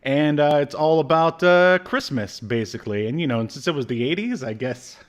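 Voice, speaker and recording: A man's voice: a little high, expressive, normal speed, muffled recording. Chatty podcast man with a slight cold. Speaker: podcast co-host. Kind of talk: casual chat, pop culture review. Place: home room with shelves, video call.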